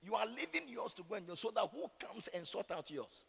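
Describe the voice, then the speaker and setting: Ghanaian man, preacher. Gravelly, fairly high voice, opinionated and rhetorical, repeating himself for emphasis. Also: a little fast. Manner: animated, rhetorical questions, repeats phrases for emphasis. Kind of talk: sermon with conversational, opinionated asides. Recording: echoey hall with long reverb.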